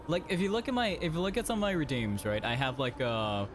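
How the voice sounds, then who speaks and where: A man's voice: mid-range voice, background noise. A man streaming a game, chatting casually and rambling with lots of fillers and restarts. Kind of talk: casual chat while playing.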